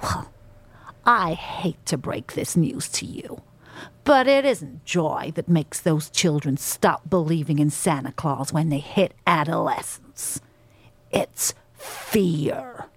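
A woman voicing an angry feminist female reindeer with an American accent, in a deliberate, emphatic stage monologue. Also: deep voice, animated, husky, no echo, exaggerated voice. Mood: bitter, grave. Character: angry feminist female reindeer making accusations about Santa.

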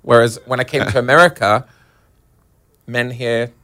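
A man's voice: mid-range voice, fairly even intonation, moderate pace, a bit bright. A middle-aged man with a Northern English accent, talking candidly as a guest travel agent in a casual interview. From Manchester, England.

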